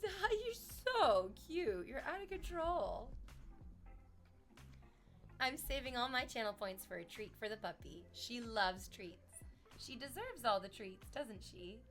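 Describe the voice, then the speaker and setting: A woman's voice: on the high side, expressive, a little slow, fairly bright tone, faint music behind. A woman chatting casually on a livestream, rambling to her viewers and cooing at her dog in baby talk.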